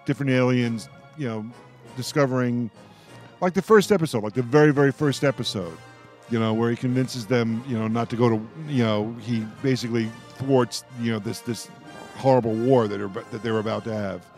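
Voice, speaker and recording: A man of middling pitch hosts a casual roundtable among fans, with faint music behind him. He rambles in a halting way, often doubling back on words as he works through a thought. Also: a little mellow. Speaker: radio host of a roundtable discussion. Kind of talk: casual fan discussion.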